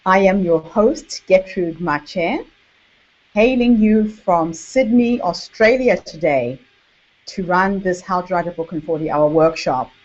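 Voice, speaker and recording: A woman with a Zimbabwean accent hosts an online workshop, speaking in a measured, deliberate way with emphatic repetition. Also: lowish voice, expressive, a little mellow. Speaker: workshop host and author coach. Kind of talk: online workshop presentation to camera. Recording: dull, lo-fi webinar audio.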